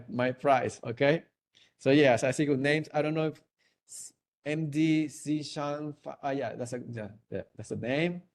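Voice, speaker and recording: A young man with a Venezuelan Spanish accent, hosting a live trivia webinar on a video call in a playful way, laughing and joking. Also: medium pitch. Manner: playful, laughs often, hums and sings along. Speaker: webinar host.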